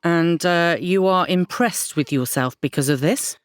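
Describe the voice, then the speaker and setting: A woman playing a stern counsellor in an audio drama, measured and pointedly questioning. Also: lowish voice, clean tone, no echo, clean studio sound, close to an everyday voice. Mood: cool, probing. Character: stern, probing female counsellor challenging a client.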